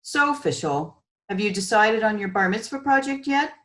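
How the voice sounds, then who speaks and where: A woman reading the part of a busy, kindly grandmother in a storybook, wry and gently self-deprecating. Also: medium pitch, animated, normal speed, lightly acted. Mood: encouraging. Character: busy, kindly grandmother who knits socks.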